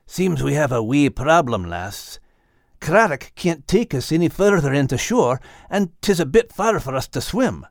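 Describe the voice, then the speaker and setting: Brisk, bossy Scottish dog for kids' audio adventure; highish, very animated delivery. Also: male voice, vocal fry, dry recording, studio quality, full character voice. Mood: urgent, hushed. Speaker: podcast co-host in character. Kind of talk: animated character acting for children's audio story.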